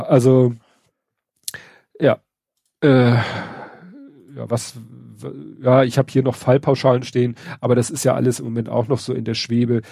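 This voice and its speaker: Verschnupfter Mann mit knarziger, dunkler Stimme. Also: male voice, medium pitch, creaky, mellow, husky, no echo, studio quality. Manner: wry, sarcastic, hesitant with many fillers. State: stuffy nose from a cold. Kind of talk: casual news chat.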